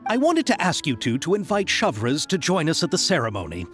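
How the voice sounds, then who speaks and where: Animated, earnest film producer. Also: male voice, on the high side, fairly quick, loud music behind the voice. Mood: polite. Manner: courteous, earnest. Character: polite, earnest, excitable male film producer.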